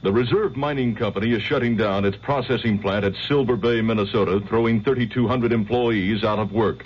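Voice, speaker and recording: A man anchoring an hourly radio newscast, reading in a crisp, measured news delivery. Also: on the low side. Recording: dull old radio broadcast with faint hiss.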